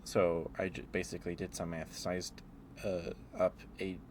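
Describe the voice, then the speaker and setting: A man with a very low voice talks in a rambling ASMR style, as a livestreamer would. He muses aloud in a halting way, with faint steady noise behind him. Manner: halting, musing, thinking aloud.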